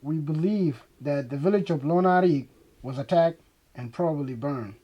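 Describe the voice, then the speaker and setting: A man's voice: fairly high pitch, normal speed, highs cut off. A young man from South Sudan with a Dinka accent, coaching lines and recalling experiences. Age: young adult. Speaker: dialect coach. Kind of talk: spoken recollection and line coaching. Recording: clear background noise, ambience.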